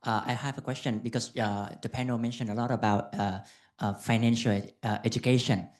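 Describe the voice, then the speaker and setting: A man asking an audience question at a conference, halting with many fillers. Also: mid-range voice, steady tone. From Vietnam.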